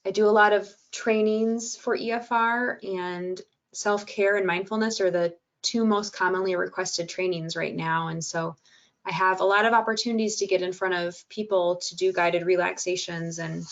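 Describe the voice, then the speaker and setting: A woman with a soothing, calm voice leads a wellness webinar, talking gently and easily with the odd "uh" and "you know." Her pitch sits in an ordinary middle range. The sound is a little dull, like a computer mic on a video call. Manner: calm, gentle, with frequent fillers. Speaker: webinar presenter and podcast host. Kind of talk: webinar presentation.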